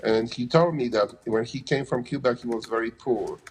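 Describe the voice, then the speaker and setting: A man's voice: medium pitch, moderate pace, husky, poor audio. A middle-aged man with a Polish accent answering interview questions casually, often restarting phrases and adding "you know". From Poland. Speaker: interviewee, anesthesia provider.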